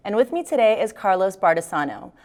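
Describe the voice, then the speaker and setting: A woman's voice: mid-range voice, sing-song, fast, darkish tone. A young woman, a student, conducting a friendly conversational interview. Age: young adult. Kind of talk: interview questions.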